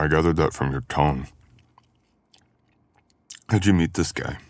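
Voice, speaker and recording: A man's voice: extremely low pitch, no echo. A man playing a teasing, caring male best friend in an intimate boyfriend ASMR audio roleplay, with playful grumbling. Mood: concerned, gentle.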